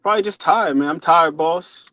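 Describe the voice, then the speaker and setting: A man's voice: a little high, moderate pace, pushed and bright, dry recording. A man co-hosting a casual boxing talk show, speaking informally and reflectively with some swearing. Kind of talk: casual sports discussion.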